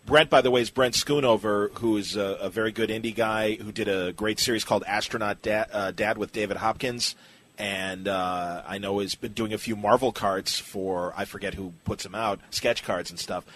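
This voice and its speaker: A man's voice: on the low side, steady tone, normal speed, fairly bright tone, a little hiss or hum. A man hosting a casual interview podcast, chatting and putting listener questions to his guest. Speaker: podcast host.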